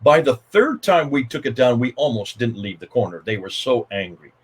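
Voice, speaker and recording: A man's voice: medium pitch, expressive, normal speed, slightly rough. Emphatic older man on a video call. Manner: emphatic, repetitive, gesturing a lot. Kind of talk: animated storytelling in a panel discussion. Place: home study with bookshelves. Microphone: video call webcam.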